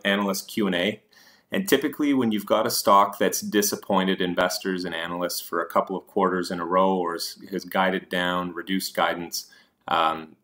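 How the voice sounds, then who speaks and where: A man's voice: low-pitched, a bit bright. A man presenting investing slides as a host, explaining points informally with hesitant asides.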